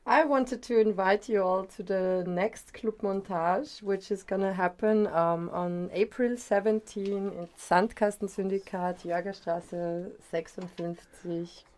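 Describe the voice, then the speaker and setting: A woman guest on a radio show, speaking in a halting, hesitant way. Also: mid-range voice, fairly even intonation, darkish tone. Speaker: radio guest, event organizer. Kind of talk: casual radio chat.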